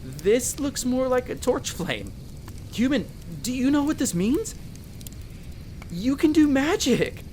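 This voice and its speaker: A performer voicing a young male elf explorer in a roleplay audio drama, patiently and gently coaching the listener as a magic teacher. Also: high-pitched, very animated, slow pace, long pauses, slight background noise, full character voice. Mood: amazed, excited. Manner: gentle, reassuring, coaching step by step.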